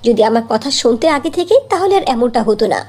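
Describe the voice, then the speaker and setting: A woman's voice: on the high side, expressive, fast talker, warm tone, slightly rough. A woman voicing a sweet, long-suffering young girl in a cartoon fairy tale, childlike and eager. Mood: earnest.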